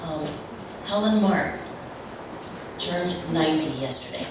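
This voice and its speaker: A woman speaks to a congregation in a low voice at an unhurried, slow pace. The recording is heavily echoed and distant, as in a large room at a church service. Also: noisy background. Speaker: service speaker giving announcements and readings. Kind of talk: church announcements and reading aloud.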